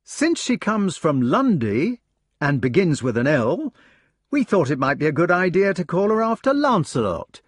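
A man's voice: a little high, sing-song, moderate pace, few pauses, no echo, studio quality, pitched above the performer's own voice. A man voicing an eager, enthusiastic youngest brother who is a young knight. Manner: breathless enthusiastic storytelling.

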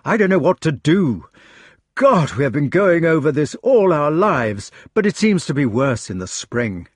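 Storybook knight, ardent pleading lover played by a man: husky, highly animated, miserable and impassioned. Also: a little slow, no echo, studio quality. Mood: desperate, pleading. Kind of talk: audiobook character dialogue.

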